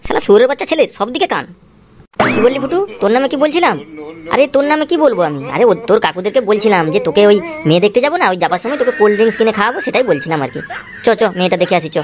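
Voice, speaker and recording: Woman as a rapid-fire grumbling cartoon dad. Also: mid-range voice, lively intonation, crowd noise, close to an everyday voice. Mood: angry, exasperated. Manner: grumbling, wheedling, repetitive. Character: exasperated foul-mouthed father, sly and falsely coaxing. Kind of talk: comic cartoon dialogue. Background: music.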